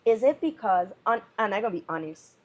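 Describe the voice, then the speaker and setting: A woman's voice: mid-range voice, lively intonation, a little fast, dull audio. A woman from the Virgin Islands with a Caribbean accent, a musician speaking candidly and casually as a podcast guest. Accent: Virgin Islands Caribbean English. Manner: candid, laid-back, slangy.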